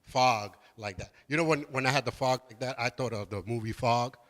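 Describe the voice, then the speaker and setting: A man's voice: mid-range voice, a little fast. Laid-back New York man hosting. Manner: relaxed, laughing, repeats words. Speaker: host. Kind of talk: casual chat. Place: studio.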